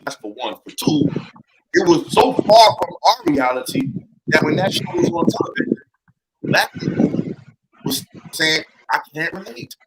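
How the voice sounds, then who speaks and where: A man's voice: a little high, big pitch swings, measured pace, background noise. A man speaking as a panelist in a heated debate, emphatic and argumentative, citing data to make his case.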